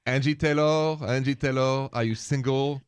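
A man's voice: medium pitch, steady tone, moderate pace, smooth, no room sound. French-accented man, agreeable radio co-host. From Paris, France. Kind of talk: casual radio talk.

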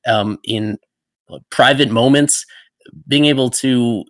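A man hosting a podcast, asking long interview questions in a halting way with frequent ums. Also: mid-range voice, a bit bright, no echo. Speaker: podcast host.

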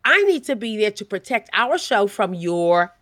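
Haughty female producer, withering sarcasm, lively intonation, dry close sound, audio comedy. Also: mid-range voice, moderate pace, dry recording. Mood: sarcastic, withering. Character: sharp-tongued, indignant, withering female theater producer.

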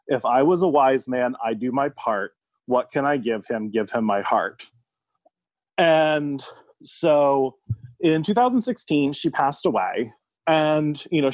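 A man's voice: mid-range voice, no room sound. A man from the United States, a podcast guest, telling a personal story in a casual, thoughtful, somewhat hesitant conversational way.

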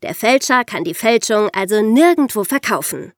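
A woman with a slightly high voice co-hosts a podcast in a conversational, explanatory way. She speaks straight to the listeners, and the sound is very dry. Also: big pitch swings, normal speed, dry recording. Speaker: podcast co-host.

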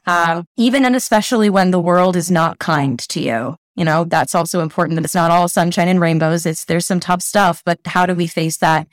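A woman's voice: lowish voice, brisk, few pauses, no room sound, studio quality. A woman author talking enthusiastically in an interview, with many fillers. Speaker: author guest. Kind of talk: casual interview chat.

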